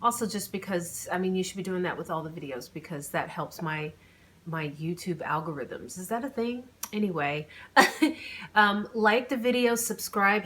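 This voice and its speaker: A woman with a mid-pitched voice, speaking as an author who hosts her own reading channel. She has an informal, playful delivery suited to chatting with followers and telling a story. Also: a bit bright, a little hiss or hum. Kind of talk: chatty vlog intro, then audiobook narration.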